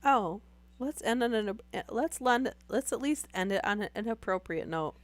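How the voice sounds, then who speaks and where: A woman's voice: fairly high pitch, animated, moderate pace. A young woman chatting casually with live viewers while losing her voice to a sore throat, rambling with many fillers. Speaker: streamer.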